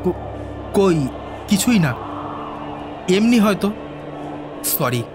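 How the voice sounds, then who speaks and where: Jittery young scientist in a radio horror play, pretty high voice, frayed nerves, music under it. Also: male voice, very animated, slow, exaggerated voice. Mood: evasive. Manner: agitated, high-strung, rambling. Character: distraught young male scientist, nervous, rambling, haunted. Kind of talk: audio drama acting.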